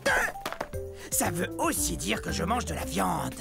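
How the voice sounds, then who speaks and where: Cartoon role: proud, playful dinosaur dad, theatrical show-off, very animated, unhurried, music underneath. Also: male voice, high-pitched, vocal fry, exaggerated voice. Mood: proud, excited. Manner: theatrical, drawn-out words, sudden swoops. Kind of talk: cartoon educational monologue to viewers.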